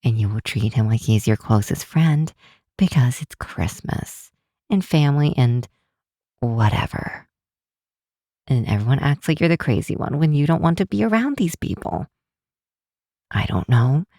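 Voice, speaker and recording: A woman's voice: extremely low pitch, lively intonation, a little slow, pauses often, warm tone, dry recording, studio quality, exaggerated voice. A woman playing a cynical, sardonic but secretly affectionate grinch girl in an ASMR roleplay, drawing out words for emphasis. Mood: cynical ranting. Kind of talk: ASMR roleplay, scripted acting to the listener.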